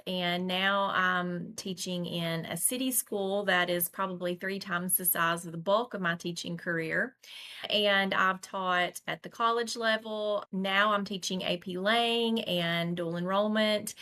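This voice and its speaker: A woman in her forties with a Southern American accent from Tennessee, chatting as a guest teacher and telling lively stories. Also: mid-range voice. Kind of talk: casual conversation.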